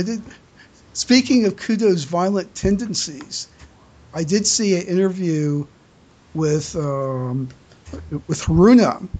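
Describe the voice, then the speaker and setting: A podcast host in a casual fan news chat, halting and stammering, often repeating words. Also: medium pitch, a little mellow.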